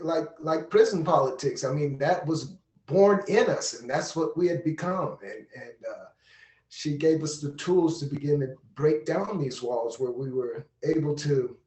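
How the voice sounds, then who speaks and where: Earnest higher-voiced man, echoey room. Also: a little mellow. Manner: earnest, deliberate repetitions. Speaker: monologuist on a panel. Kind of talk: reflective personal testimony.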